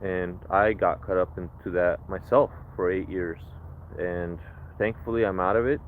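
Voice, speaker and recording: A man's voice: deep voice, fairly even intonation, measured pace. A man born in Ukraine, now a livestreamer, chatting outdoors in a reflective, rambling way with many restarts. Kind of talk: casual livestream chat. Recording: tinny phone-like mic with faint noise.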